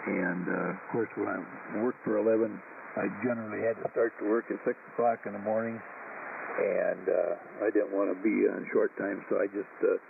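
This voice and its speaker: A man's voice: lowish voice, steady tone, normal speed, vocal fry. A retired older man chatting casually and rambling on a ham radio net. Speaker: caller. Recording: distant tinny radio with static.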